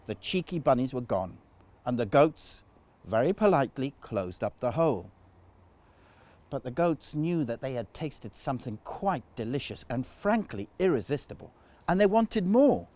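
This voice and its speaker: A man telling a children's story in an animated storytime narration style. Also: mid-range voice.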